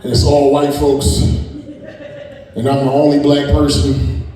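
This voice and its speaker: A man's voice: medium pitch, completely flat delivery, measured pace. A man in his forties doing a crude, brash stand-up set with the crowd. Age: 40s. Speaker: stand-up comedian. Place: comedy venue stage. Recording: echoey hall, mic off at a distance, loud crowd. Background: audience laughter.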